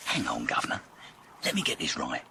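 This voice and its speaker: Very animated sidekick. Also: male voice, sits low, a little fast, gravelly, soft background music, exaggerated voice. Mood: curious, amazed. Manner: chirpy, deferential, plain-spoken. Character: loyal, cheeky working-class male sidekick and driver. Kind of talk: vintage pulp detective radio drama acting.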